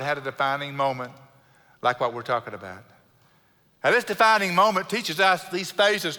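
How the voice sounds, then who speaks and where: A middle-aged pastor with a South Houston Texan twang delivers his sermon in a crisp, bright, slightly high voice. He is earnest and unhurried, leaning on key words, with a dry joke now and then. His words ring out with a long trailing reverb from a big church sanctuary. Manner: earnest, slows and stresses key words, light self-deprecating humor.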